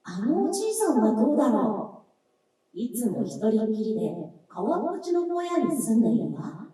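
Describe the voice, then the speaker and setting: Ethereal female snow spirit for radio play, acted by a woman: slow, lyrical, swooping, distant in a reverberant stage. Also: a little high, dark tone. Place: live stage performance. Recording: very echoey stage, distant mic, music.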